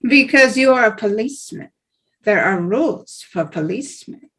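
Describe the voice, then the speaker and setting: A woman playing a smug, unafraid terrorist henchman in a script table read, taunting and deliberate. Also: a little high, expressive, fairly bright tone.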